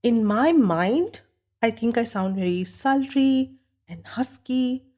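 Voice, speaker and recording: A woman hosting a podcast while she has a bad cold, sounding nasal and croaky, talking earnestly and candidly on her own. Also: fairly high pitch, animated, takes it slowly, warm tone. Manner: earnest, candid, talks with a light laugh now and then. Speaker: podcast host. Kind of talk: solo podcast monologue.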